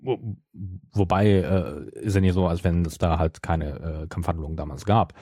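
A man's voice: deep voice, fairly even intonation, normal speed, warm tone, slightly rough, no room sound, clean studio sound. A man co-hosting a podcast, discussing an audio drama casually in a matter-of-fact way. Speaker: podcast host.

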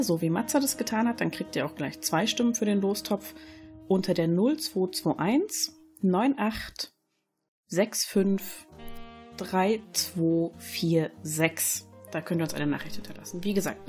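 A woman's voice: fairly low pitch, darkish tone. A woman co-hosting a podcast, speaking casually as she explains and announces things. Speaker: podcast co-host. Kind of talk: casual chat, announcing contest details.

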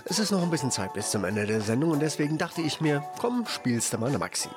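A man's voice: fairly high pitch, very animated, fast talker, over music. A man presenting on the radio as the host of a show.

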